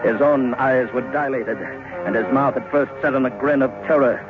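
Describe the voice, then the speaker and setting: A man narrating radio horror in a theatrical 1940s style, suspenseful, with deliberate pauses. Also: mid-range voice, fairly even intonation. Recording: tinny vintage radio recording, music behind.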